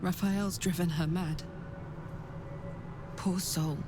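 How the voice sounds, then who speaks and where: A woman's voice: on the low side, steady tone, slow pace. An actress voicing a gutsy female adventurer in a video game, blunt, plain-spoken and wry. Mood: pitying. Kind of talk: video game companion acting. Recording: game music behind the voice.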